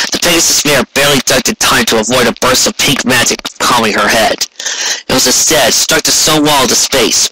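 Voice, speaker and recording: A man reading scripted story narration on an amateur recording with noise and clicks. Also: mid-range voice. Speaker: audiobook reader. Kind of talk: scripted fan fiction narration.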